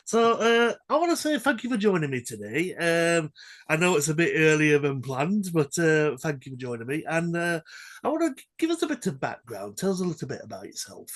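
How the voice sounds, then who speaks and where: A man's voice: on the high side, very animated, brisk, a bit bright. A man hosting a community radio interview who talks in a hesitant way, with fillers and restarts. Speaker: radio presenter.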